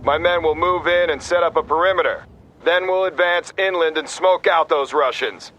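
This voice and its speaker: An actor playing a gung-ho, combative army captain in a military video game, talking in brash soldier fashion. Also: male voice, fairly high pitch, moderate pace, a little hiss or hum, natural voice, poor audio. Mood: brisk, determined. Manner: brash, forceful soldier talk. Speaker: army field officer.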